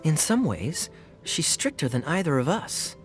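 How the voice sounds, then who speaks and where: A man's voice: a little high, sing-song, unhurried. A man voicing a suave, sly young knight captain in game cutscenes, with a wry, teasing way of talking. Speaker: game character. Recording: game audio with faint music.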